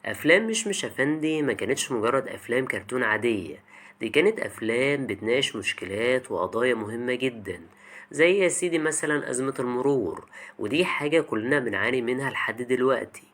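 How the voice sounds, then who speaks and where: A man's voice: medium pitch, expressive, fast talker, a bit bright. A young man with an Egyptian Arabic accent narrates a scripted video essay in a colloquial, chatty way, addressing the listener directly.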